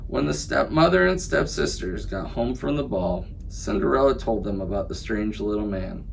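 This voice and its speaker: A teacher reading a silly picture book to children. His pitch swings widely and playfully as he narrates. A faint hiss of background noise sits behind him. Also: normal speed, gravelly, rough recording. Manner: lively, playful expressive narration. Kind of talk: children's story read-aloud.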